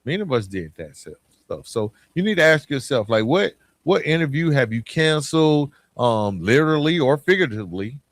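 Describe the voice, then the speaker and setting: A man's voice: mid-range voice, sing-song, a little fast, hardly any pauses, dry recording. A middle-aged American man giving a motivational solo podcast talk, speaking colloquially and directly to listeners with emphatic repetition and rhetorical questions. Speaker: podcast host.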